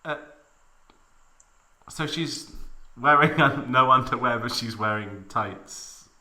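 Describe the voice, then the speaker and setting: A man's voice: mid-range voice, takes it slowly, bright, forceful tone. A man making amused, informal interjections during a comedic reading.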